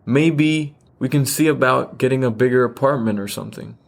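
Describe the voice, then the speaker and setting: A man's voice: mid-range voice, normal speed, vocal fry, natural voice. An actor playing an earnest, caring man who is affectionate with his partner, in naturalistic radio play dialogue.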